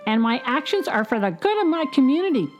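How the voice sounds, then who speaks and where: A woman voicing a scheming, boastful male crow in a storybook, with a high squawky voice. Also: very animated, quick pace, highs cut off, soft background music. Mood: coaxing.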